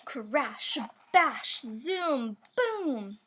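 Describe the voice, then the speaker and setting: A woman's voice: sits high, sing-song, very slow, pushed and bright, a little hiss or hum. A woman reading a rhyming children's picture book aloud, with playful emphasis on the sound words. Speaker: storybook reader.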